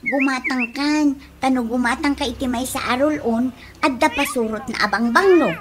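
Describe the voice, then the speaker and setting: A woman's voice: high-pitched, normal speed, background noise, natural voice. A woman playing a pushy, blunt peddler in a comedy radio drama, speaking with an Ilocano accent in a coaxing, teasing way. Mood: bluntly teasing. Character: pushy, blunt female peddler of perfume and lotion.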